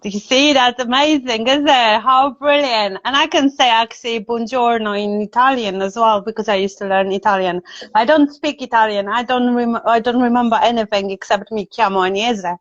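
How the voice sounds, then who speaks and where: A woman's voice: on the high side, normal speed. A woman with a Polish accent, chatting casually and effusively as a live stream host. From Poland. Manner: effusive, chatty, frequent tag questions. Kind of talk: casual chat. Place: indoors, on a video live stream. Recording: dull, roomy video call audio.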